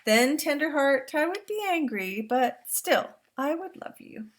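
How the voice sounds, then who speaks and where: A woman reading the lines of a loving father who gently reassures his son, from a picture book. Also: sits high, lively intonation, measured pace, slight background noise, voice pushed up. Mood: tender. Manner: tender, reassuring promises. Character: loving Maasai father, steady and protective. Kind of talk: read-aloud picture book.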